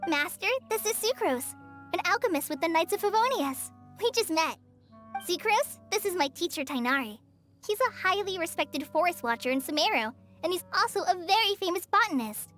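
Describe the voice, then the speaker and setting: Very high-voiced shy young girl. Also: expressive, normal speed, faint music behind. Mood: earnest. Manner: hesitant, soft-spoken. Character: shy young girl, earnest, polite and self-doubting. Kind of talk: video game voice acting.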